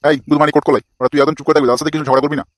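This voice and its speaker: A man's voice: on the high side, level tone, racing, no echo, lightly acted. A man voicing an exasperated, foul-mouthed older village father in a comic cartoon, scolding crudely.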